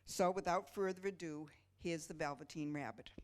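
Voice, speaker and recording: Female presenter, lower register, informal spoken introduction for an amateur stage reading. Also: moderate pace, a little hiss or hum. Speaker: presenter introducing a church reader's theater.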